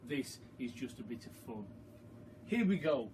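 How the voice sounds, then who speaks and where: Unhurried man reading a children's story. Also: medium pitch, slight background noise. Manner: expressive, deliberate, emphatic. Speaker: primary school teacher reading to pupils. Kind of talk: storytime read-aloud narration.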